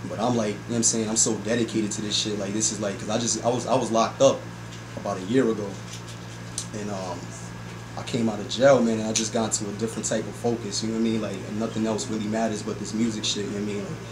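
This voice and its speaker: A man's voice: fairly low pitch, little pitch movement, quick pace. A young man, a rapper, chatting casually as an interview guest in a slangy, rambling way full of repeated filler phrases. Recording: echoey room with background noise.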